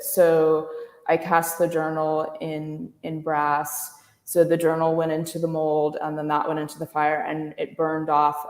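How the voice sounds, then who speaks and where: A woman giving an informal talk as an artist, speaking thoughtfully and haltingly with trailing pauses. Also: sits low, darkish tone. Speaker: visual artist, guest speaker. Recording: echoey reverberant room.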